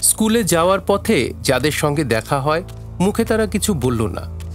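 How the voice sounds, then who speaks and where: A man narrating a literary story for an audio drama in a measured, dramatic way. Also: a little high, sing-song. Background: faint music.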